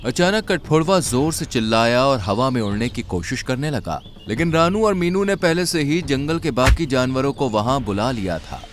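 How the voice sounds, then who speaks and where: A man's voice: mid-range voice, very animated, moderate pace, hardly any pauses, a little hiss or hum. A man narrating a scripted children's story as its storyteller.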